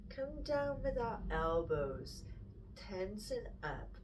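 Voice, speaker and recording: A woman teaching a guided yoga class, speaking in calm, gentle cues that sometimes drop to a whisper, her nose stuffy from hay fever. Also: sits low, distant mic, a little hiss or hum, low-quality recording. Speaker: yoga instructor.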